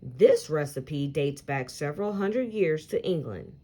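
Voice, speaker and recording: A friendly history-buff uncle from a picture book, read by a woman. He explains things in a reassuring, informative way, taking his time and varying his pitch freely. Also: expressive, unhurried, dark tone. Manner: informative, reassuring storybook dialogue.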